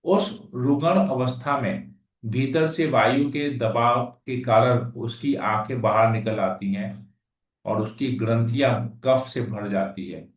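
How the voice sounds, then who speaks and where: A man's voice: mid-range voice, slow pace. A man reading scripture verses aloud and then explaining them in plain conversational talk. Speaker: scripture class speaker. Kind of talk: Hindi scripture reading with commentary. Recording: echoey room, far from the mic.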